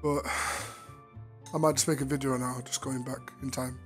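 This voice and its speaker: A man talks loosely to his viewers, his voice audibly strained and worn from recent illness. He swings between tired mumbling and loud, crude, teasing outbursts, and he swears freely. Faint music sits behind him. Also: mid-range voice, flat delivery, a little slow. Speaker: VRChat streamer. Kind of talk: casual chat. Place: online virtual-reality social game.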